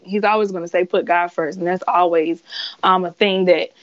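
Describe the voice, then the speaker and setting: A woman in her twenties, a singer being interviewed, chatting casually in a giggly, animated storytelling way. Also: lowish voice, normal speed, highs cut off. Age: 20s. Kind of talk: casual chat.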